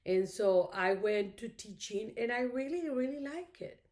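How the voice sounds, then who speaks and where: A woman's voice: fairly high pitch, animated, unhurried, darkish tone. A middle-aged woman whose first language is Spanish, chatting casually to her viewers in a rambling, thankful way full of "you know"s. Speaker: craft vlogger. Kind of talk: casual chat to camera.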